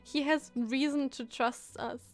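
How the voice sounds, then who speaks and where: A woman giving casual live commentary as she reacts to a game story, expressive and musing aloud. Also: high-pitched, a little slow, no room sound. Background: game music.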